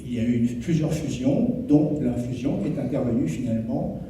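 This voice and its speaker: A man, a municipal official, gives a formal, explanatory introduction to a public meeting in measured, hesitant phrases. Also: mid-range voice, mellow. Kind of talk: formal public address, partly improvised. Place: echoey indoor meeting room. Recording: echoey hall, distant mic, faint noise.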